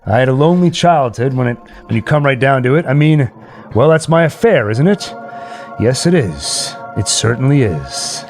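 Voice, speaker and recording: A man playing a nervous, rambling man alone in a haunted house in a horror radio play, halting and talking straight to his listeners. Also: medium pitch, very animated, faint music behind. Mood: anxious, rambling. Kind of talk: old-time horror radio play monologue.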